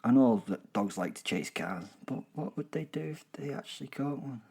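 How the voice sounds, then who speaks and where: A man's voice: mid-range voice, lively intonation, normal speed, vocal fry, a little mellow, husky. A man with a British accent voicing a gleeful, boastful werewolf killer, telling his story in a chatty, rambling way with darkly humorous asides. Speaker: narrator and host of a horror audio serial. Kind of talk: chatty first-person dramatic storytelling.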